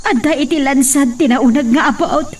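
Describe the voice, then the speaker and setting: A woman's voice: sits high, normal speed, darkish tone, natural voice. A woman playing an anguished, fearful mother in a radio melodrama, pleading emotionally with her child. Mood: urgent, troubled. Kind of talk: melodramatic radio drama acting. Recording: distant mic, faint background noise.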